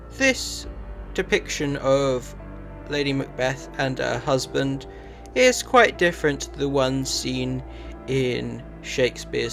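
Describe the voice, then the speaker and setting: A man delivering scripted review narration in a measured, matter-of-fact way. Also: mid-range voice. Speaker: video reviewer.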